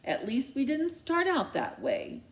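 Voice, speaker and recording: Woman playing an earnest, protesting teenage girl, lively pitch. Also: measured pace, full character voice. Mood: defensive. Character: defensive, pleading teenage daughter, earnest and stubborn.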